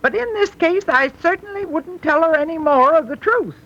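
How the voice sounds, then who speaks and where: A woman's voice: very high-pitched, animated, moderate pace, fairly bright tone, heavily acted. An actress playing a wry, kindly, plain-spoken old grandmother in a 1930s radio comedy. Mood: wryly advising. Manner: folksy, wry. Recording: dull 1930s radio recording.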